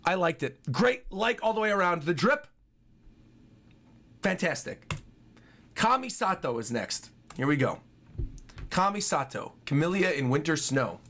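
A man's voice: fairly high pitch, expressive, a little slow, long pauses, a bit bright, slight background noise. A young man giving enthusiastic, chatty live reaction commentary as a streamer, often repeating his phrases. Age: young adult. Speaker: streamer reacting to game trailers. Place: small bedroom, indoors. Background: trailer music.

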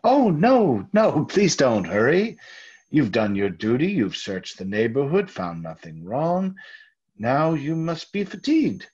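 A man playing a nervous, overpolite murderer hiding his guilt, halting and husky, in old-time radio theater style. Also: mid-range voice, huge pitch swings, darkish tone, exaggerated voice. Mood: ingratiating. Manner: halting, over-courteous, stammering. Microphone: video call. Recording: dull, lo-fi video call audio.

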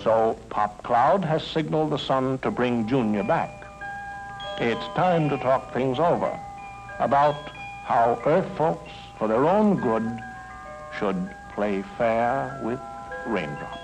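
A man's voice: mid-range voice, expressive, takes it slowly, low-quality recording. An American man giving folksy, emphatic scripted narration in the manner of a mid-century educational film. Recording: old film soundtrack with music.

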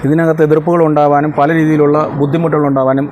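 A middle-aged man talks very quickly in a slightly high voice. He explains his points emphatically and repeats them with insistence, as in an interview. There is faint noise behind him. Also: flat delivery, darkish tone. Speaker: interviewee, homeowner organizing a lucky draw.

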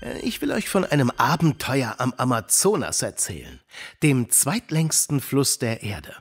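Friendly adult mentor in kids' radio play; husky, animated, encouraging. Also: male voice, medium pitch, measured pace, soft background music, heavily acted. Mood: friendly, storytelling. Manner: calm, encouraging, lively storytelling. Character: Mike, friendly protective grown-up male storyteller. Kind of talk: children's audio drama acting.

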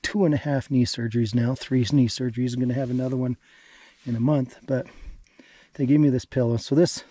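A man in his thirties vlogging solo from a small tent while camping, talking slowly and sometimes dropping to a whisper. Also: medium pitch, dry recording. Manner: slow, relaxed, drops to a whisper at night. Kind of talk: casual vlog narration. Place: small tent outdoors in the mountains.

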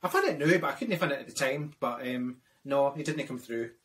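A man's voice: mid-range voice, animated, fairly quick, fairly bright tone, close to an everyday voice. A Scottish man voicing a chatty older widowed woman who rambles with dry asides, in a comic character monologue. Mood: gossipy.

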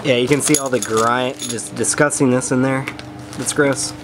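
A young man hosting a garage vlog, chatting casually as he works on an engine, relaxed with lots of fillers. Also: medium pitch, normal speed. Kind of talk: casual chat while working on an engine. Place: home garage. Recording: noisy garage recording.

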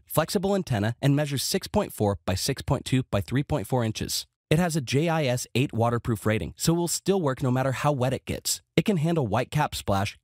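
A man's voice: mid-range voice, a little mellow, no room sound, studio quality. A man reading scripted product review narration with a polished, promotional delivery.

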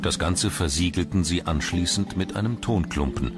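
A man reads scripted documentary narration in a measured, storytelling way. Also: sits low. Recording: loud music under the voice. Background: loud background music.

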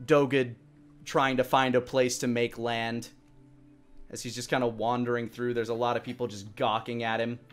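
A man in his thirties improvising tabletop game narration as game master, in a halting, searching way. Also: mid-range voice, little pitch movement, clean tone. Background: faint music.

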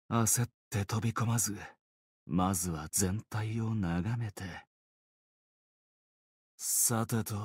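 A man's voice: mid-range voice, slightly rough, no room sound, studio quality. A man playing an easygoing, teasing young swordsman in a mobile game, with a casual, playful drawl. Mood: cool, confident. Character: easygoing, teasing young swordsman, laid-back surfer temper. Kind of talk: Japanese mobile game character voice lines.

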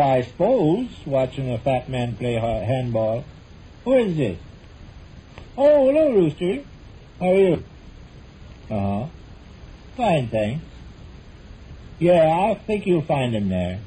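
An American actor playing a stubborn, middle-aged husband with a dry, mock-grandiose manner in a 1940s radio comedy. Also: male voice, a little high, mellow. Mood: casual, friendly. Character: droll, stubborn, evasive husband in a domestic comedy. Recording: noisy old 1940s radio recording.

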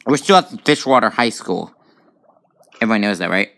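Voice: medium pitch, animated, moderate pace, a bit bright. A gamer giving casual let's play commentary on a tablet game, reacting aloud with frequent exclamations. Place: indoors at a tablet.

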